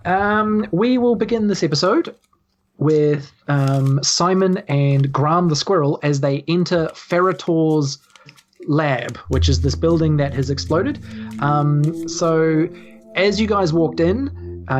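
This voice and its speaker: A woman's voice: sits low, a little slow, a little mellow. A woman with a New Zealand accent casually narrating a tabletop game as game master, informal and hesitant. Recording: slightly dull, music bed behind. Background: background music.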